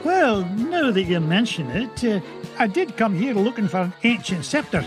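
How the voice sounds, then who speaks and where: Elderly Scottish cartoon duck, treasure-mad uncle, high animated delivery, over game music. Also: male voice, normal speed. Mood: keen, bossy. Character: elderly Scottish male duck adventurer, gruff, treasure-hungry, excitable uncle. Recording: music behind the voice.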